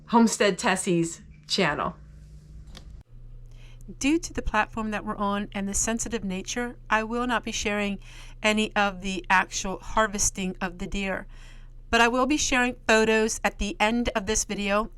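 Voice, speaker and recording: A woman's voice: mid-range voice, moderate pace, fairly bright tone. An older woman with an American accent, hosting a homesteading vlog and chatting to her viewers.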